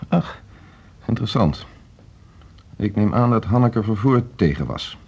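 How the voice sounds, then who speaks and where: An actor playing an ambitious, stubborn orchestra conductor in a naturalistic radio drama, brusque, self-assured and dismissive. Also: male voice, lowish voice, animated, measured pace, vocal fry, darkish tone. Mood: resentful. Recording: dull, muffled radio play recording.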